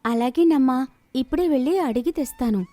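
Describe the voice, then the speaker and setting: A woman's voice: high-pitched, brisk, mellow, no echo, soft background music, natural voice. A woman voicing a polite, clever young village daughter, respectful and sweetly reassuring.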